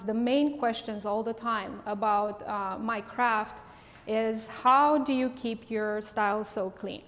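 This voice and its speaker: Nervous woman. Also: mid-range voice. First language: Romanian. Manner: halting, many fillers. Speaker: hairstyling educator. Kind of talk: live class presentation. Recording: studio with long reverb.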